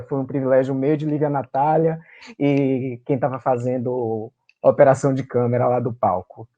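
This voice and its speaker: A man from Salvador, a performer and writer, talking with emotion as a guest in an interview and often pausing with fillers to find his words. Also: mid-range voice, warm tone. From Salvador, Brazil. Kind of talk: remote interview, reminiscing.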